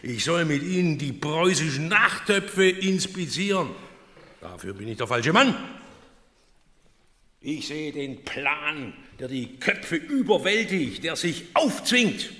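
Haughty male intellectual; sharp, highish voice, declamatory, booming hall echo. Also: sing-song, slow, exaggerated voice. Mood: haughty, scornful. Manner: pompous, declamatory, scornful. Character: imperious, haughty Prussian thinker proclaiming grand progress. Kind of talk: radio play acting. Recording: echoing large hall, long reverb.

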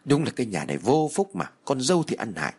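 A man's voice: mid-range voice, sing-song, fast talker, a little mellow, breathy edge. A man voicing a cruel middle-aged grandmother who scolds coarsely and hurls threats. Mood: bitter, resentful.

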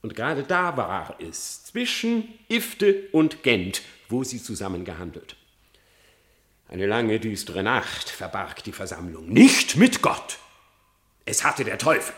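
A sly, lying fox in a classic verse radio drama. His delivery is highly animated and theatrical, with a bright, tense edge, lurching between hushed confession and outcry in feigned remorse. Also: slow pace, full character voice. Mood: scheming, dramatic. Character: cunning lying male fox pleading before his execution.

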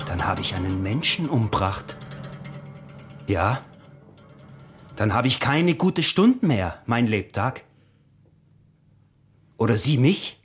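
Slow, menacing Austrian peasant husband. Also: male voice, fairly low pitch. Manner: deliberate, controlled, menacingly businesslike. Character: frail, cunning, possessive peasant husband and smuggler. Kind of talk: German radio drama acting.

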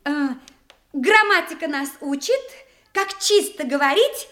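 A woman's voice: high-pitched, animated, a little slow, a bit bright. A woman playing a demure, studious young bride who recites politely in a theatrical radio comedy in verse. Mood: eager, schoolgirlish.

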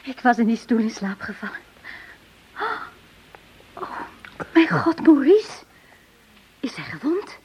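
Anxious high-voiced sister, hushed and emotional. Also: female voice, animated, slow, pauses often, breathy edge, exaggerated voice. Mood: shocked, distressed. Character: anxious, devoted sister of a wounded man. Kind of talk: Dutch radio play acting. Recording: noisy old radio play recording.

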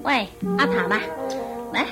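A woman's voice: on the high side, animated, unhurried, over music. An actress playing a doting but easily exasperated female companion in a radio drama, fussing and cajoling as she talks. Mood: coaxing, doting. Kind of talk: Cantonese radio drama acting.

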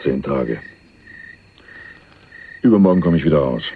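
An actor playing a shrewd, sardonic small-time jailbird in a German radio crime drama, teasing and probing. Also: male voice, extremely low pitch, lively intonation, unhurried. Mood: curious, dry. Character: shrewd, needling small-time male jailbird, broke and worldly-wise. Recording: dull lo-fi radio recording, faint noise.

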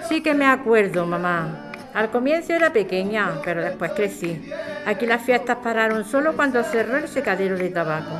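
A woman's voice: medium pitch, expressive, moderate pace, over music, close to an everyday voice. A woman playing a hopeful, reassuring grown daughter in an audio drama.

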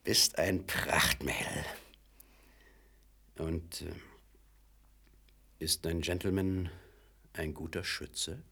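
Husky, swaggering crook. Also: male voice, low voice, very slow, long pauses, full character voice. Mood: pressing, boastful. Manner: slangy, swaggering, swings between hushed coaxing and loud bursts. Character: rough, menacing British male crook, wheedling and cocky. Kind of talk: German radio play acting.

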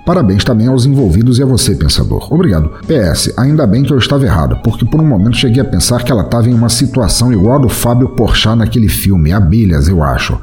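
A man's voice: medium pitch, sing-song, fast talker, hardly any pauses, a little mellow. A man with a Brazilian accent hosting a podcast in a chatty, effusive, informal way, reading and answering messages. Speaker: podcast host. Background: music bed.

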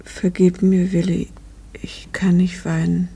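A devoted middle-aged wife worn by grief in a 1950s German radio drama. Her voice is hushed and very breathy, with a slow, halting delivery. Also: fairly low pitch, flat delivery, full character voice. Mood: numb grief. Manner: slow, halting, repeating phrases.

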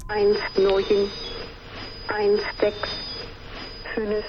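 A woman voicing an impersonal numbers station over a radio transmission, counting out digits in a mechanical monotone. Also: mid-range voice, very slow. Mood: flat, impersonal. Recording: very husky, dull lo-fi radio signal.

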